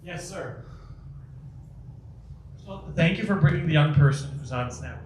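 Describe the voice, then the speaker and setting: A man from Chicago giving a public talk and taking audience questions, lively, with quick asides and restarts. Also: a little high, background noise. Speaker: guest speaker. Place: community center hall. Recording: echoey hall, mic at a distance.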